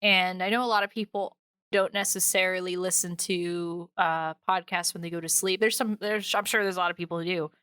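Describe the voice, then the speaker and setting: A woman with a slightly low, bright and clear voice in a dry recording. She chats playfully and casually as a podcast host. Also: steady tone, a little fast, no room sound. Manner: playful, chatty.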